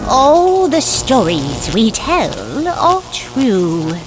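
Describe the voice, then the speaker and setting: A woman co-hosting a horror show, speaking in a slow, eerie, teasing way. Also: a little high, wildly swooping pitch, over loud music, rough recording.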